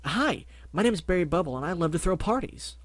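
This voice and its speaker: High eager party pony guy, a man doing it, gushes about everything. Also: lively intonation, fairly quick, dry recording. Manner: eager and gushing. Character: cheerful party-loving male pony.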